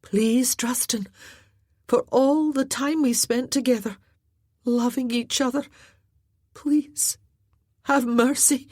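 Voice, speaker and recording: A desperate woman pleading for her life, as a woman narrator puts her on, her pleas climbing high. The voice is breathy and close, with a dry sound. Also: steady tone, slow, pauses often, darkish tone, no room sound. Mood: desperate, begging. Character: desperate woman hanging off a scaffold.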